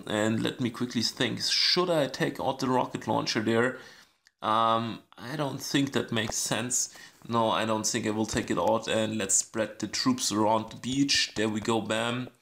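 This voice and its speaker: A man with a scratchy voice giving casual commentary on a strategy game, thinking aloud with many pauses and ums. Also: mid-range voice, fairly even intonation, creaky, fairly bright tone. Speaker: gaming channel host.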